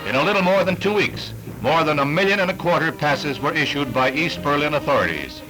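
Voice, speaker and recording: Male American newsreel announcer, 1960s style. Formal and declamatory, slightly high-set voice, music running strongly underneath. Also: lively intonation, a little fast, low-quality recording. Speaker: newsreel narrator. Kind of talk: scripted 1960s newsreel narration. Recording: vintage 1960s newsreel soundtrack. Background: orchestral newsreel music.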